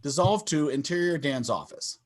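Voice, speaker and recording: A man's voice: on the high side, animated, normal speed. A man narrating stage directions in a scripted table read over a video call.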